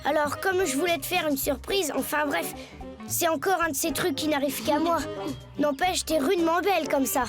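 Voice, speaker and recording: Woman playing a high-pitched, earnest cartoon schoolboy. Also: normal speed, natural voice. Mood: hesitant, earnest. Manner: earnest, hesitant, boyish. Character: polite, good-natured young schoolboy with a magic lamp. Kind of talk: French cartoon voice acting. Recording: music behind the voice. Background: music.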